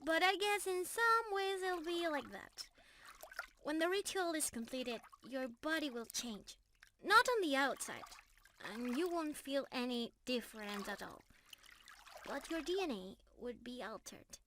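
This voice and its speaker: A woman's voice: high voice, expressive, measured pace, a little hiss or hum. A woman playing a shy, sweet young mermaid in love, speaking earnestly to one listener in an ASMR romance roleplay. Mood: gentle, explaining. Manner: earnest, bashful, explaining gently to one listener.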